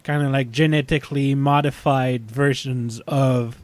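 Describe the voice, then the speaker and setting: A man talking casually as a podcast panelist about sci-fi television, thinking aloud in a halting way. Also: mid-range voice, steady tone, a little mellow, no echo.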